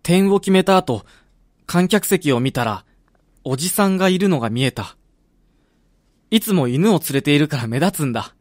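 A man's voice: fairly high pitch, expressive, moderate pace, pauses often, dry recording, studio quality. An actor playing a proud, wounded young footballer son in a radio drama, frank and emotional in his delivery. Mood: reflective. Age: young adult.

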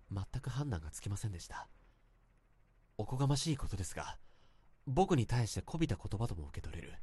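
Radio drama lead: awkward, earnest young man, polite confessional monologue, animated intonation, dry studio sound. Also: medium pitch, breathy edge, no room sound. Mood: hesitant, tense. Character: self-conscious young man confessing an awkward romantic memory.

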